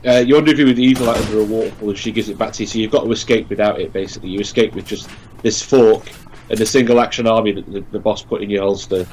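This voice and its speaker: A man's voice: on the low side, steady tone, rapid-fire, darkish tone, a little hiss or hum, rough recording. A man giving casual co-commentary on a video game, talking as he would with friends. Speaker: let's play co-commentator. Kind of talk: casual gaming commentary chat.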